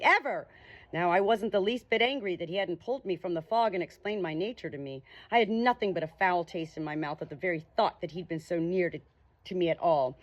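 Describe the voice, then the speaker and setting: A woman's voice: lowish voice, poor audio. A woman narrating an audiobook novel dramatically in the first person.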